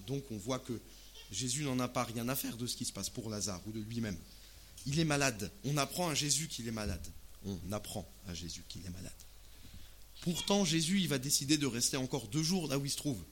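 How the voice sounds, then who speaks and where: Male preacher, medium pitch, informal and expressive sermon delivery, live recording with light background noise. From Alsace, France. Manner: expressive, uses rhetorical questions and acted-out asides. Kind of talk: sermon in conversational, colloquial language.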